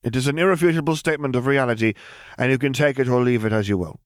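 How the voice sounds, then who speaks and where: A man's voice: medium pitch, quick pace, dry recording, clean studio sound, voice pushed up, close to an everyday voice. A man voicing a precocious eleven-year-old girl who talks in a detached, formal way. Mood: matter-of-fact.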